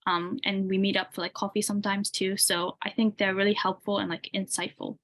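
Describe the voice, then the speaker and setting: A woman's voice: medium pitch, fairly quick. A woman in her twenties, a university student, answering interview questions casually with lots of "like" and "um". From UK, grew up in Tanzania. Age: 20s. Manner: relaxed, many "like" and "um" fillers.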